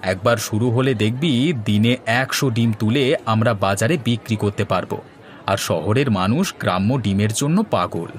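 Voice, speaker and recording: A man's voice: on the low side, moderate pace, natural voice. A man as a confident, scheming cartoon villager. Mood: eager, persuasive. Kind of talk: comic Bengali cartoon dialogue. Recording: music behind the voice.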